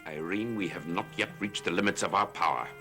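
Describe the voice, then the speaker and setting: Coven patriarch: deep, forceful, swinging delivery, cold and ambitious, gothic soap drama. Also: male voice, a little fast, faint music behind. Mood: stern. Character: domineering, ambitious male coven leader, cold father. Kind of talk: gothic soap opera acting.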